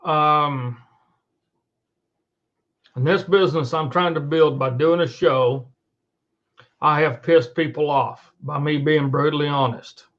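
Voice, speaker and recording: A man's voice: a little high, fairly even intonation, slow, pauses often, fairly bright tone, highs cut off. A middle-aged man hosting an online show, delivering an unscripted rant in a blunt, profane, emphatic and repetitive way. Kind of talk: unscripted monologue rant to camera. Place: indoors at a computer.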